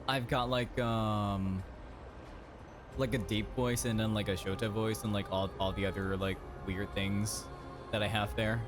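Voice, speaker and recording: A man's voice: on the low side, steady tone, measured pace, music in the background. A man streaming a game, chatting casually and rambling with lots of fillers and restarts. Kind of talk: casual chat while playing.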